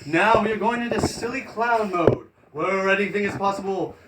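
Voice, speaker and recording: A man hosting a casual, improvised live stream, talking in a rambling, halting way and giving directions between remarks. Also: sits high. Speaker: live stream host and performer. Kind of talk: casual improvised livestream chat. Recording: echoey room, noisy live stream audio.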